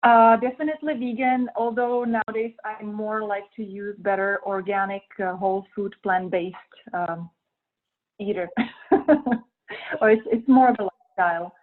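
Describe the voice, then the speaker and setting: A woman's voice: mid-range voice, steady tone, moderate pace. A young woman with a Czech accent answering interview questions, hesitant and thoughtful, with many ums and uhs. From Czech Republic. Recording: tinny phone-like sound.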